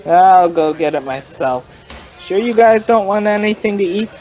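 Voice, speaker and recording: A freeloading American informant in a vintage radio drama. His fairly high voice is breezy, slangy and casual. The recording is dull and lo-fi. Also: lively intonation, moderate pace, darkish tone, faint music behind. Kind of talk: 1950s radio drama dialogue.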